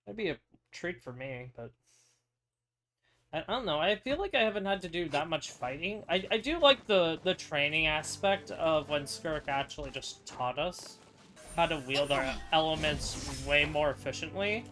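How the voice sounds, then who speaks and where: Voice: medium pitch, expressive, moderate pace, a bit bright. A game streamer giving casual, wry commentary and reading dialogue aloud in an informal, offhand way. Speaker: game streamer reacting to a story quest.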